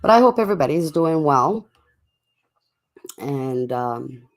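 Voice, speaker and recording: A livestream host chatting casually and in a laid-back way to viewers late at night, with a voice going hoarse from a cough. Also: medium pitch, big pitch swings, slow. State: sick with a cough, voice getting hoarse.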